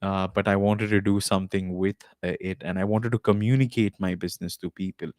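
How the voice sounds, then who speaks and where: A man's voice: low-pitched, fairly even intonation, brisk, darkish tone, dry recording, studio quality. A man hosting a podcast live stream, talking casually and loosely, with frequent fillers and restarts, into a desk mic at a home recording setup. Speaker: podcast host.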